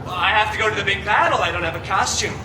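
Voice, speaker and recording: A man's voice: high-pitched, very fast, distant mic, noisy background, natural voice. A man playing a cheeky, vain antihero storyteller, theatrical and sarcastic, chatting to a live audience with comic asides. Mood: pleading, playful. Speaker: theme park character actor, storyteller. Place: live theme park show, far from mic. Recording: echoey park show, loud music and crowd.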